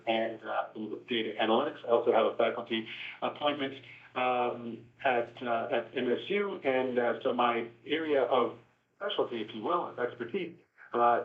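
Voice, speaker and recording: A man from South Africa and Canada, a guest expert on a phone call, explaining his work in a halting, measured interview style. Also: mid-range voice. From born in South Africa, grew up in Canada. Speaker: guest expert, university instructor. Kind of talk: conversational interview answers. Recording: dull, echoey phone line.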